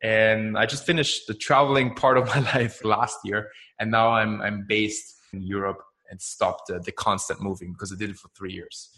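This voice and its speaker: Austrian-born man, lower voice, chatty. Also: normal speed, few pauses. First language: German. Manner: energetic, chatty, frequent "right?" tags and laughs. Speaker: guest, marketing founder. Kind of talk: casual podcast interview.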